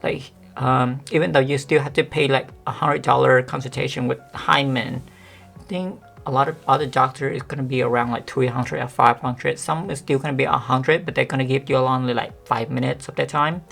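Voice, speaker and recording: Monotone deep-voiced woman vlogging, gravelly. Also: a little fast, soft background music. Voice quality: monotone, deep, sometimes cracking voice. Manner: rambling, filler-heavy, many "like"s. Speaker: beauty vlogger. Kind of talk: casual talk to camera.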